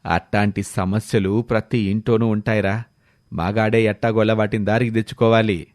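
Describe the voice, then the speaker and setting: A man's voice: fairly low pitch, flat delivery, normal speed, a little mellow, soft background music, pitched below the performer's own voice, lightly acted. A man voicing a kindly, blunt village uncle and farmer with a rustic, fatherly manner. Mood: reproachful.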